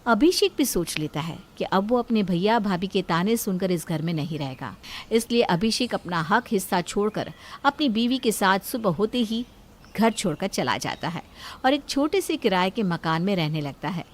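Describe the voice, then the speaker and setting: A woman's voice: medium pitch, animated, moderate pace, slight background noise. A woman reading a scripted family story as a storyteller. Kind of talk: scripted Hindi story narration.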